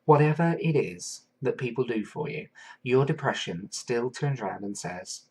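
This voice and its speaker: A man from England hosting a solo podcast, talking in an earnest, thoughtful way and pausing often with fillers. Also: mid-range voice, lively intonation, darkish tone, husky. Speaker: podcast host. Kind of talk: reflective solo podcast monologue.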